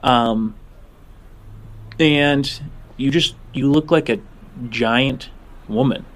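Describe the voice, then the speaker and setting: A man improvising narration as game master of a tabletop game, in a halting, informal, descriptive way. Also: medium pitch.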